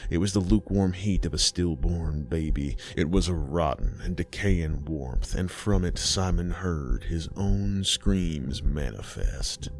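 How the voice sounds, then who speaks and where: A man narrating a horror story in a slow, grim, deliberate way. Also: low-pitched.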